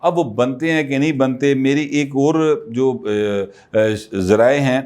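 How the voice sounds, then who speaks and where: Guy talking politics to camera, casual, hesitant fillers, average pitch. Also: a little mellow, clear, resonant tone. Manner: conversational, many filler pauses. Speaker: political commentator. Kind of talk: solo political commentary.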